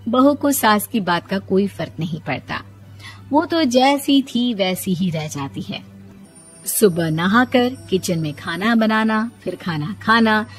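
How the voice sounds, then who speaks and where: Woman, story narrator, mid-range pitch, scripted moral-tale delivery, background music. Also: lively intonation, slow. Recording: music under the voice.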